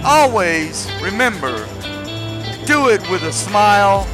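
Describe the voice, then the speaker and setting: High-pitched man rambling over loud music. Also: sing-song, slow. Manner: rambling, conversational, addressing viewers as guys. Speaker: gaming video creator. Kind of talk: casual gameplay commentary.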